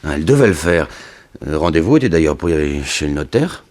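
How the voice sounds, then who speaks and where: Weary rich son, very low voice, plaintive, old radio mystery acting. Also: male voice, sing-song, brisk, a little mellow. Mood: sorrowful. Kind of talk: 1960s French radio detective drama acting.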